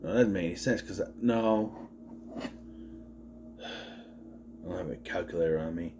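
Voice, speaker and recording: A man's voice: sits low, normal speed, warm tone, slight background noise. A man in his late thirties giving a casual movie review, rambling, swearing freely and wandering off on tangents. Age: 30s.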